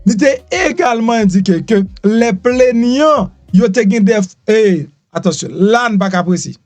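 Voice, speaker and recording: A man's voice: high-pitched, unhurried, darkish tone, no echo, soft background music. A Haitian man hosting a live news show, commenting casually and mockingly while mixing Creole, French and English. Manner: switches between Creole, French and English, repeats phrases, teasing.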